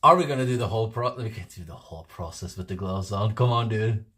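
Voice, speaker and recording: A man's voice: on the low side, quick pace, sharp tone. A Norwegian man in his forties with a Norwegian accent, chatting casually to his livestream viewers, rambling with frequent restarts and laughter. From Norway. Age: 40s. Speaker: livestream host.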